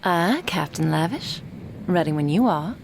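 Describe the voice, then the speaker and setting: A woman performs a pompous sidekick in an ASMR audio play, fawning and needling in flowery phrases. The delivery is unhurried, with light ambient noise behind it. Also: lowish voice, expressive, vocal fry. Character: fawning, pompous sidekick publicist, wordy and teasing. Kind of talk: ASMR audio drama acting.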